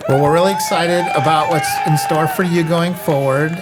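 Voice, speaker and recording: A man's voice: fairly high pitch, steady tone, moderate pace, over a noisy crowd, heavy background noise. A man hosting a podcast, summarizing points in an easy, affirming way with light humor. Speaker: podcast host. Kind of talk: casual podcast conversation. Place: studio room.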